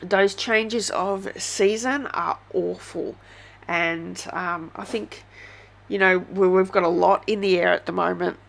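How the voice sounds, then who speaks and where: A woman's voice: lowish voice, steady tone, slow, creaky. An Australian woman with a head cold, chatting through a casual step-by-step craft tutorial with many ums.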